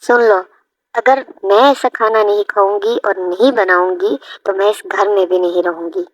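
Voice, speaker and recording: A woman's voice: medium pitch, steady tone, normal speed, no echo, close to an everyday voice. A woman voicing a bold, modern young daughter-in-law in a family cartoon, with an insistent manner. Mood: defiant. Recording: dull lo-fi audio, music behind.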